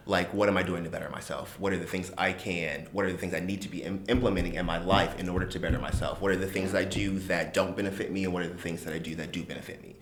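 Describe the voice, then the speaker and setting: A man with a deep voice, co-hosting a podcast and calmly guiding a meditation, his phrases trailing off softly. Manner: soft-spoken, trails off quietly at the ends of phrases. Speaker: podcast co-host leading a meditation. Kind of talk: calm guided meditation and casual chat. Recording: echoey room.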